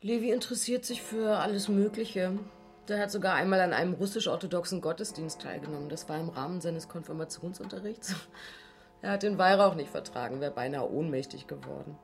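Actress playing thoughtful middle-aged mother, low-pitched, naturalistic radio drama, soft music behind. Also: fairly quick, lightly acted. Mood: musing.

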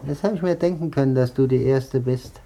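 A man's voice: mid-range voice, dark tone, natural voice. A man acting a shady old junk dealer in a 1960s German radio drama, grumbling as he goes. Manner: slow, chuckling, grumbling. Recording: noisy 1960s radio recording.

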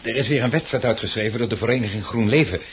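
A man's voice: mid-range voice, racing, close to an everyday voice. An actor in a radio play playing a proud, genial amateur plant grower, insistent and repeating his short words. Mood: pleased. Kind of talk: Dutch radio play acting. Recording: muffled old radio recording, faint hiss.

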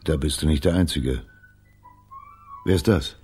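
A man's voice: rumbling low, unhurried, warm tone, soft background music. An actor playing a terse, businesslike boss briefing his investigator in a radio crime drama, matter-of-fact in manner.

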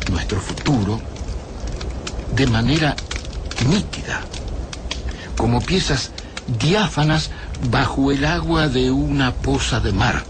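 Male narrator, very slow and solemn, radio drama opening, noise behind. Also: medium pitch.

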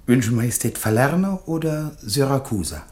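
A man's voice: medium pitch, lively intonation, normal speed, warm tone, lightly acted. An actor playing a deferential imperial valet in a radio play, courteous and formal in his speech.

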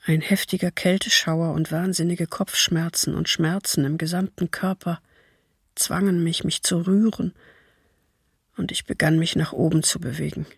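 A traumatised mother and survivor speaks in a husky, creaky voice. Her testimony is grave and restrained, recorded very dry for documentary radio drama. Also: fairly low pitch, steady tone, normal speed, no echo. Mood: pained, grave.

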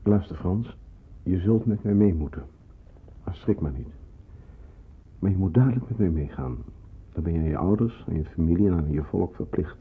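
Kindly firm resistance helper, low voice, earnest, reassuring, radio drama, tinny hissy recording. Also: male voice, normal speed, long pauses, husky, full character voice. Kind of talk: Dutch radio play acting. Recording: noisy narrow-band old radio play recording.

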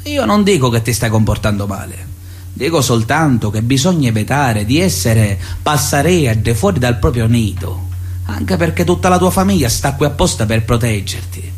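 An actor playing a pious, paternalistic Sicilian mafia elder, solemnly sermonizing with veiled menace in a radio drama letter. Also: male voice, mid-range voice, lively intonation, lightly acted. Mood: paternal sermonizing. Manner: solemn, sermonizing, measured insistence. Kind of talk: theatrical radio drama monologue, letter read aloud.

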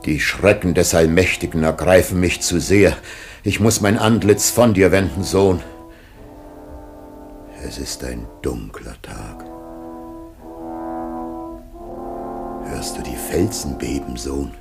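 An anguished, aged patriarch of a biblical radio drama speaks in a very low voice. He declaims slowly and gravely in lofty, archaic phrases, as a man facing his death. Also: expressive, soft background music, heavily acted. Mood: dread, foreboding. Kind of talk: solemn classical German radio play acting.

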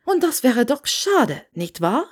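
A woman's voice: sits high, very animated, slow, husky, pitched above the performer's own voice, exaggerated voice. A woman voicing a plump, grey-haired, talkative innkeeper's wife in a storybook reading, gushing and enthusiastic. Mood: effusive, chatty. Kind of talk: audiobook character dialogue.

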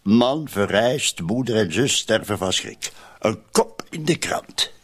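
An actor with a Flemish accent playing a scheming, suspicious conspirator in a radio drama, insistent and often dropping to near-whispers. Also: male voice, on the low side, lively intonation, takes it slowly, breathy edge, exaggerated voice. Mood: conspiratorial.

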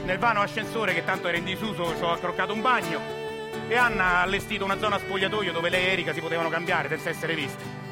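Soft-spoken man over music. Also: a little high. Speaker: narrator and lead. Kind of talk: radio theatre monologue.

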